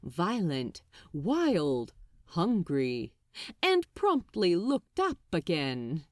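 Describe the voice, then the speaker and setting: A woman's voice: on the low side, huge pitch swings, drawn-out words, no echo, clean studio sound. A woman reading fiction aloud as an audiobook narrator, telling the story expressively.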